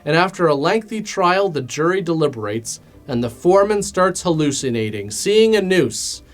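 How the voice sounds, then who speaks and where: A man's voice: a little high, big pitch swings, normal speed. A man narrating a comedic film recap in a sarcastic, mock-dramatic and casually crude way. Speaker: host of a film commentary show.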